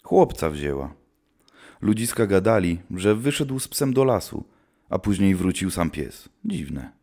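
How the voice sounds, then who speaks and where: Male actor as seasoned witch hunter: low, solemn, grim, archaic storytelling for audio drama. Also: big pitch swings, unhurried, mellow, exaggerated voice. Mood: grim, reminiscing.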